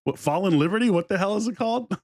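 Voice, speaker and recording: Host, male, quick delivery, upbeat and joking, casual chat. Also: sits high, expressive, a little mellow, no echo, studio quality. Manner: upbeat, jokey, laughs often. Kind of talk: casual interview over a call.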